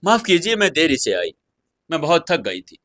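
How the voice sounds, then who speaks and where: A man voicing a tired, apologetic young wife in an audio story, gentle and hesitant. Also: fairly high pitch, huge pitch swings, moderate pace, dry recording, clean studio sound, pitched above the performer's own voice.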